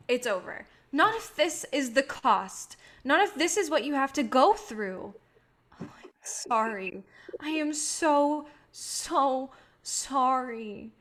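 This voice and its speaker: Female, high voice. An actress playing an idealistic, protective filmmaker burdened by guilt; earnest, pleading stage drama. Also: a bit bright, heavily acted. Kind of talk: staged reading of a drama play.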